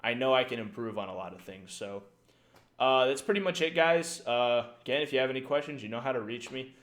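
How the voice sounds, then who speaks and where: A man's voice: mid-range voice, animated, fairly quick, a bit bright. Rambling man giving casting tips. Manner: rambling, frequent fillers and "right?". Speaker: esports caster giving tips. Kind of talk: casual livestream talk.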